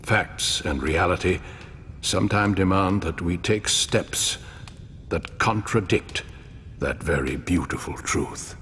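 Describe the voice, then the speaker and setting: A grave male ruler with a very low voice, speaking slowly and formally. He sounds weary and principled, every phrase deliberate, as in a dramatic video game scene. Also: a bit bright, soft background music. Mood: grave, resigned. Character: weary mortal male ruler, grave, idealistic yet pragmatic. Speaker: voice actor in a game cutscene. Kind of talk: dramatic game cutscene acting. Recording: long hall reverb, low rumble.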